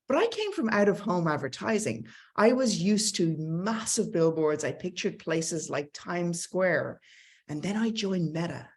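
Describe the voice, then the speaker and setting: Irish woman, middle-aged, lowish voice, upbeat conference speaker with slides. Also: lively intonation, a little slow, a little mellow. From Ireland. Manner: enthusiastic, conversational, self-deprecating asides. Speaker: tech company speaker.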